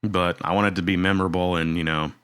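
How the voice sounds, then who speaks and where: A man in his thirties co-hosting a podcast, talking in a relaxed, rambling way with lots of fillers and restarts. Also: sits low, fast talker, clean tone. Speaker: podcast co-host. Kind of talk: casual chat.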